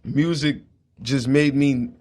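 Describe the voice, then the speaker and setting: A man's voice: medium pitch, fairly even intonation, slow, darkish tone. A man in his late twenties chatting casually as an interview guest, talking in emphatic slang and often checking that he is understood. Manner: slangy, emphatic street talk with repeated check-in phrases. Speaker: guest, hip hop emcee. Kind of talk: casual radio interview chat.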